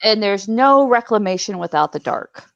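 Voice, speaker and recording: A woman's voice: mid-range voice, lively intonation, normal speed, gravelly, dry recording. Earnest woman on dull, dry audio. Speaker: panelist. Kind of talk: casual panel discussion.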